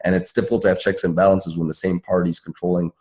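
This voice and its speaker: A man with a very deep, mellow voice speaks as an activist on a panel. He gives earnest political commentary, often restarting his phrases as he works through an answer. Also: flat delivery, fast talker. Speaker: activist panelist at a town hall.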